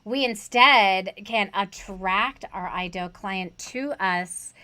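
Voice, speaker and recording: A woman's voice: mid-range voice, slow pace, bright, forceful tone, clean tone. A woman hosting a casual conversation about online tutoring, earnest and chatty, asking rhetorical questions. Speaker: host, online tutoring coach.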